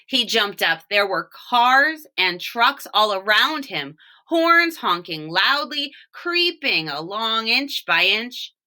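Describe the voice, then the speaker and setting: Bright-voiced woman reading a children's story. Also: fairly high pitch. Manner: deliberate, expressive emphasis on key words. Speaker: storybook reader, teacher reading for home learning. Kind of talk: expressive children's story read-aloud.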